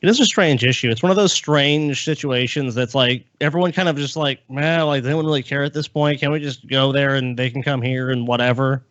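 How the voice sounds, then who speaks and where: A man with a medium-pitched voice chats as a podcast co-host. The sound is very dry, and his talk is informal and easygoing. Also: a little fast, hardly any pauses, fairly bright tone, no room sound, highs cut off. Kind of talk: casual chat.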